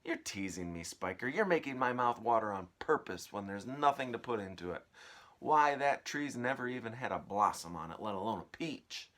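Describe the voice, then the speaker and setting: A man's voice: medium pitch, expressive, moderate pace, pushed and bright. A man voicing a grumpy, greedy, disbelieving aunt from a children's storybook, blustering and scolding. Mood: scornful.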